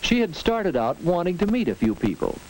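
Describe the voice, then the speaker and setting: A man narrates a vintage instructional film in an earnest, teacherly way. His voice rises and falls with lively expression. The old soundtrack carries a faint hiss and occasional clicks. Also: high-pitched, fairly quick, rough recording. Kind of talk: 1940s educational film narration.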